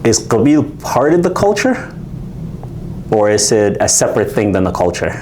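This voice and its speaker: Lively, animated man asking quick questions. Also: fairly low pitch, a little hiss or hum. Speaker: podcast co-host. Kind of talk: casual interviewing.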